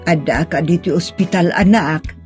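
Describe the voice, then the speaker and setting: A woman's voice: lowish voice, normal speed, a little mellow, soft background music, close to an everyday voice. A woman playing a patient, middle-aged mother, earnest and consoling, in a sentimental audio drama. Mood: concerned.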